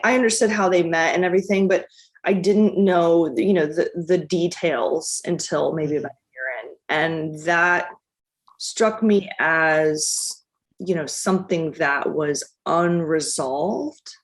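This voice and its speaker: A woman, a documentary director, answering interview questions in a reflective, casual way with many restarts and fillers. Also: lowish voice. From United States.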